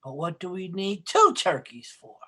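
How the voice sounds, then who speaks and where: An actor playing a sly, hot-tempered working-class husband, excitable and sarcastic, in old-time radio sitcom style. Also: male voice, sits high, moderate pace, exaggerated voice.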